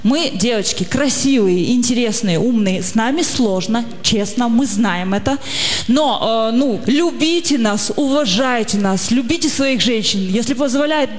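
A woman's voice: fairly high pitch, expressive, a little slow. A woman in her forties doing a chatty, self-mocking stand-up comedy monologue. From Russia. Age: 40s. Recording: long hall reverb, audience noise.

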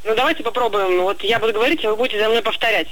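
A woman's voice: a little high, racing, a bit bright, poor audio. A woman interviewing a job applicant, skeptical and amused at his answers. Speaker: call center hiring manager.

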